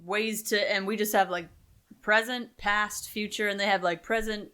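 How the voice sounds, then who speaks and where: A woman's voice: medium pitch, steady tone, moderate pace, bright, forceful tone, clean tone. A woman chatting casually on a comedy podcast, rambling and hedging her points with lots of "like". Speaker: podcast co-host or guest.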